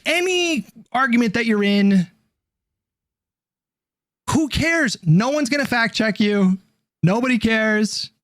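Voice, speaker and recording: A man's voice: high-pitched, no echo, studio quality. A man ranting in heated online debate talk, exasperated and swearing.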